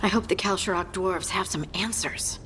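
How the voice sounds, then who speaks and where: A woman's voice: medium pitch, normal speed, noisy background, natural voice. A woman voicing an earnest, hopeful young dwarf scout in a video game, polite and a little tentative. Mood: hopeful, curious. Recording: music behind the voice. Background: game soundtrack music.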